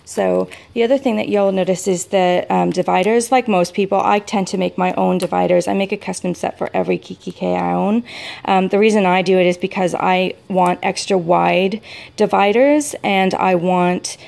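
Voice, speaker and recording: A low-voiced, very croaky American woman you would hear in a hobby video, meandering through her stationery piece by piece. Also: fairly even intonation, moderate pace, darkish tone. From United States. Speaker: vlogger showing a planner setup. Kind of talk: casual product walk-through.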